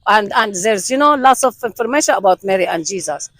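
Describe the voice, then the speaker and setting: A woman's voice: mid-range voice, lively intonation, fairly quick, fairly bright tone, no echo. A woman answering interview questions earnestly, repeating words as she searches for what to say.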